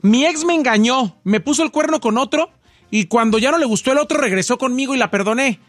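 A male co-host speaks in a very high, swooping voice as he reads out listener messages. The banter is casual, and the sound is close and dry over faint music. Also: brisk, fairly bright tone, dry recording. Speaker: radio co-host.

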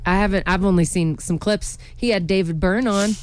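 A woman's voice: mid-range voice, normal speed, faint music behind. A woman co-hosting a local radio show, chatting in a wry, talkative way and teasing her co-host back from a studio. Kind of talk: casual chat on local radio.

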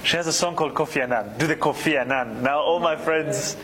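Relaxed man from Uganda in an interview. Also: fairly high pitch, brisk, rough recording. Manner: relaxed, searching for words, many fillers. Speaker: interview guest. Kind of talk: casual interview answer.

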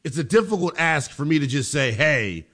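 A man's voice: on the high side, lively intonation, quick pace, fairly bright tone. A man with an American accent hosting a sports show, giving emphatic football commentary and repeating phrases for effect.

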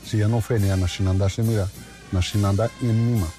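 A man from Oaxaca whose first language is Mazatec, speaking calmly as an artist guest in a radio interview. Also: lowish voice, a little fast, faint music behind. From Oaxaca, Mexico.

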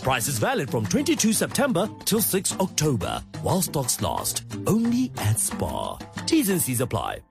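A man reading scripted radio ads in an upbeat, smooth selling voice. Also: fairly high pitch, huge pitch swings, moderate pace, few pauses, over music. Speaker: ad voice-over. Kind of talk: scripted ad narration.

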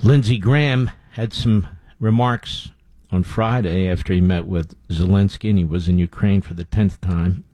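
A man's voice: low-pitched, moderate pace, hardly any pauses, warm tone, no echo. A man hosting a talk radio show, giving blunt, scornful commentary with frequent fillers.

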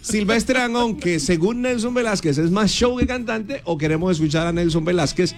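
A man's voice: sits high, moderate pace. A Colombian man hosting a morning radio show, teasing his co-hosts and urging listeners to send voice notes while he has a cold. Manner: playful, teasing, keeps calling for listener input. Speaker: radio host. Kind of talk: lively morning radio banter.

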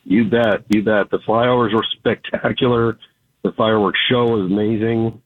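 Raspy man on a tinny phone line. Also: lowish voice, moderate pace, no echo. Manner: relaxed, grateful, hesitant with many fillers. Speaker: company founder, radio guest. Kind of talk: casual radio interview.

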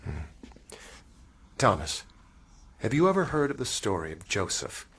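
Measured, dignified choir director. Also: male voice, fairly low pitch, sing-song, fairly bright tone, slightly rough, full character voice. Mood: grave, pondering. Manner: measured, formal. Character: dignified male choir director, gentle and thoughtful. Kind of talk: audio drama acting.

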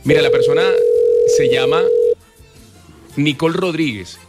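A man with a Colombian accent, hosting a casual live radio show in an excitable, exclamatory way. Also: on the high side, a little slow, over loud music. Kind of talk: casual live radio banter with prank-style birthday calls.

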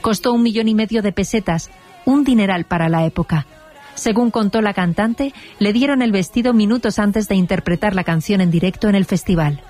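A woman narrating a documentary in an even, informative scripted read. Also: mid-range voice, fairly quick, soft background music.

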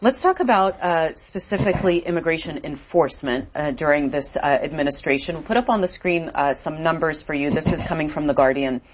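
A woman with a notably low voice hosts the interview, asking her questions haltingly with many fillers. The sound is dull and lo-fi. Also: a little hiss or hum. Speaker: interview host. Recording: dull lo-fi audio.

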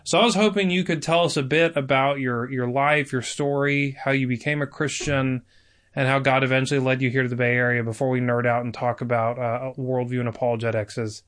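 A man's voice: mid-range voice, flat delivery, brisk, fairly bright tone. A man hosting a podcast in a casual, chatty and informal interviewing style.

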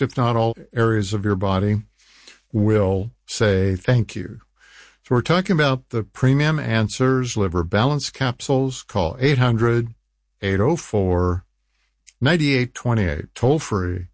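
Male infomercial host, lowish pitch, dry studio-tight sound. Deliberate, emphatic delivery that hammers key phrases. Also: dry recording. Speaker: health program host selling supplements. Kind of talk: infomercial radio talk, reading testimonials.

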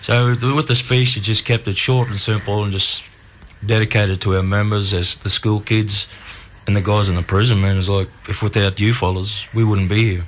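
A man's voice: on the low side, flat delivery, normal speed, slight background noise, low-quality recording. A middle-aged man with an Australian accent, talking casually and candidly in a plain, colloquial way as an interview guest. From Ipswich region, Australia. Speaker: community group founder, interview guest.

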